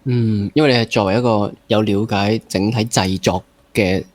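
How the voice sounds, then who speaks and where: A man with a Hong Kong accent and a lowish voice hosts a casual conversation. His phrasing is halting and sprinkled with English words, and the sound is close and dry with no room echo. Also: fairly even intonation. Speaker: interview host.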